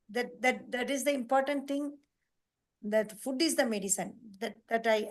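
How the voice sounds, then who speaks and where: A woman hosting a nutrition program on a video call, talking in a halting, repetitive way with emphatic lists. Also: on the high side. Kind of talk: casual online meeting talk.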